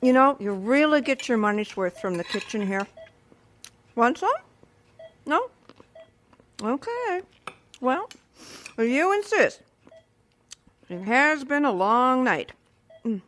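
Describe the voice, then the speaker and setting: A woman's voice: fairly high pitch, fairly bright tone. An actress playing a chatty, self-satisfied female retirement home caregiver who flusters easily, in an audio drama. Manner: chatty, rambling, hesitant when flustered.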